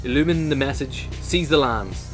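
Guy reading out a political essay, average pitch, faint music behind. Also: expressive, moderate pace. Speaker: narrator. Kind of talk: scripted narration of a political essay.

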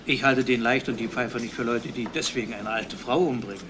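A man playing a dogged, pondering detective chief in a crime radio play, halting and questioning. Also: mid-range voice, fairly even intonation, over a noisy crowd, natural voice. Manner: halting, questioning, repeats himself.

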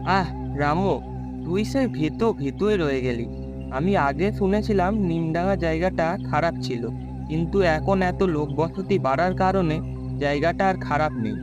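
Casting: male actor as carefree, dismissive young villager for cartoon, high-pitched, with clear background music. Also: unhurried, natural voice. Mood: breezy, dismissive. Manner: dismissive, breezy. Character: brash, carefree young village man, teasing friend. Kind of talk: cartoon story voice acting.

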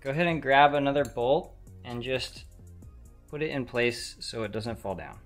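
A man's voice: medium pitch, moderate pace, reverberant, soft background music. A young man in his twenties, a host walking viewers through a repair step by step, talking to the camera in a home garage. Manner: plain step-by-step instructing. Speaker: mechanic host. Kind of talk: how-to repair walkthrough. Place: home garage workshop, indoors.

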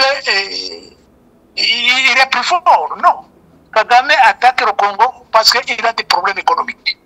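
An older man with a Rwandan accent comments on politics over a phone call. His voice is bright and forceful. He speaks emphatically and repeats his key points, as a native Kinyarwanda speaker. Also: very high-pitched. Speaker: interview guest, commentator. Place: remote call, shown as a photo.

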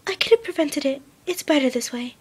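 A woman voicing a timid, gentle young female pegasus pony in a soft, hesitant, whispery way for a story reading. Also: high-pitched, lively intonation, fairly quick, voice pushed up. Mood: resigned.

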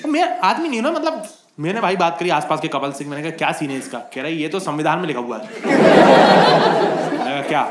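A young man from India performing a stand-up comedy set on a club stage, telling stories in an animated, mock-exasperated way into a handheld mic. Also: on the high side, a little fast, very noisy. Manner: animated, conversational storytelling with mock-exasperated asides. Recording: roomy club sound with loud crowd laughter.

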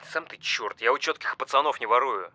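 A man's voice: mid-range voice, a little fast, pushed and bright, no echo. An actor playing a hard-up small-time scammer and young father, awed and sheepish, using hesitant street slang in an audio film. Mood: awed, earnest.

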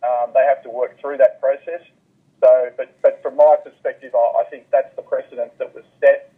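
Husky guy on a phone line doing sports analysis, real careful and measured. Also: mid-range voice, flat delivery. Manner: careful, measured, qualifies his points. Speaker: basketball commentator, interview guest. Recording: muffled telephone line.

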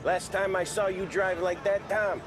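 An actor playing a cocky, joshing tough mobster with a slangy manner in a crime game. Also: male voice, sits high, steady tone, measured pace. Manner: slangy, teasing. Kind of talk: cinematic crime game acting. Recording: noisy outdoor ambience.

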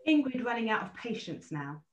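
Woman narrator for play reading; scripted delivery, slightly high voice, echoey room. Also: sing-song, brisk, muffled recording. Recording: echoey, distant from the mic.

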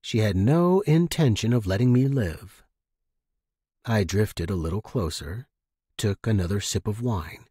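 A man's voice: on the low side, animated, unhurried, warm tone, husky, no room sound, clean studio sound. A man reading scripted first-person fiction as a story narrator.

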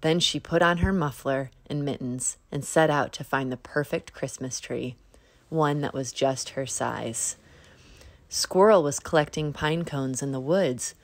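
A woman narrating a children's picture book in a measured read-aloud manner. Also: sits low, no room sound. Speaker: storybook narrator. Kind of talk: scripted children's story narration.

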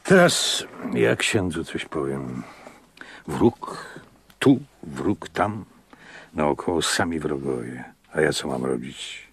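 A weary, very deep-voiced innkeeper. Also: male voice, animated, no room sound, full character voice. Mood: bitter, resigned. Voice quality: husky. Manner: deliberate, resigned, weighty. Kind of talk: radio drama acting.

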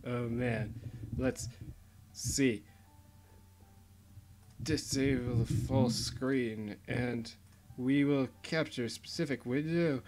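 A man's voice: medium pitch, steady tone, slow pace, long pauses, darkish tone, soft background music. A young man giving rambling, mock-boastful commentary while playing a game at his computer. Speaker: YouTuber. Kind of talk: casual gameplay commentary.

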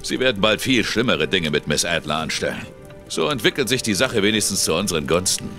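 An actor playing a menacing older ex-boxer thug with a gruff, sneering manner in an audio drama. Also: male voice, low voice, expressive, a little fast, pushed and bright, faint music behind, exaggerated voice. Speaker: villain. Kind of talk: studio audio drama acting.

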